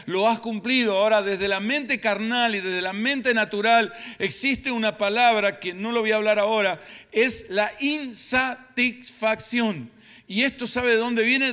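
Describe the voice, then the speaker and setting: A man's voice: high-pitched, fairly bright tone, smooth. A middle-aged or older man with an Argentine Spanish accent preaching emphatically, repeating phrases for effect. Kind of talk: sermon. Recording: reverberant hall sound.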